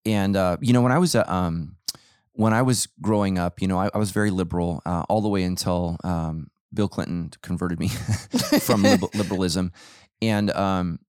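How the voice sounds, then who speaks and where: A man's voice: low-pitched, normal speed, a little mellow, no echo, clean studio sound. An American man talking casually as a podcast host, telling things in a conversational, self-interrupting way. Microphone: close podcast microphone.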